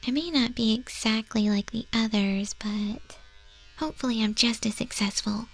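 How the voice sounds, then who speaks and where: A woman voicing a gentle, sincere moth monster girl tattoo artist in a soft, breathy, tender ASMR roleplay. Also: on the high side, steady tone, normal speed, low-quality recording.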